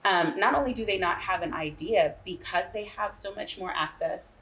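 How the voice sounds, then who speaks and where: A woman's voice: medium pitch, moderate pace, a bit bright, low-quality recording. A woman giving conversational interview answers as a guest playwright, often checking in with the listener. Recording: faint background room noise.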